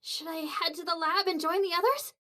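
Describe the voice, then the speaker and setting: Anxious, very high-pitched girlish android soldier. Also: brisk, sharp tone. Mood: worried, self-talking. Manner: hesitant, stammering. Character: anxious young female android soldier, timid, easily flustered. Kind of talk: dramatic video game dub acting.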